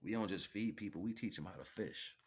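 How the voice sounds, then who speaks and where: A man's voice: lowish voice, a little fast, husky. A man giving an improvised motivational monologue as a livestream host, preaching in colloquial phrasing with emphatic repetition.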